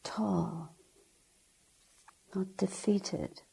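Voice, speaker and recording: A woman teaching guided meditation in a soft, breathy, gentle way, speaking in short deliberate phrases with long pauses. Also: medium pitch, steady tone, rough recording. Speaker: Buddhist nun, meditation teacher.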